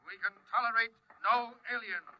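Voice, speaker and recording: An actor playing a pompous, domineering colonial inspector in a vintage radio drama, curt and officious through a radio transmission filter. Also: male voice, extremely high pitch, steady tone, moderate pace. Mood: stern, menacing. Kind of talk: 1950s radio drama acting. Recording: dull vintage radio broadcast recording.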